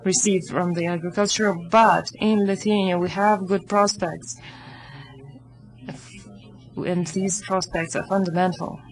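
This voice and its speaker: A woman interpreting live into English at a seminar, halting and restarting as she goes. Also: medium pitch, steady tone, faint music behind, low-quality recording.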